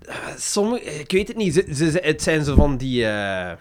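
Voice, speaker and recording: A man's voice: fairly high pitch, big pitch swings, moderate pace. A man with a Flemish accent chatting casually, hesitant and often repeating himself as he looks for words. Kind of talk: casual chat.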